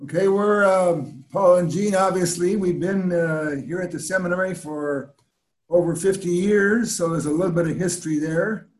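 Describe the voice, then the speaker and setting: A man's voice: high voice, normal speed. Man in an echoey room on a call. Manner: relaxed, humble chat. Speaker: missionary seminary staff.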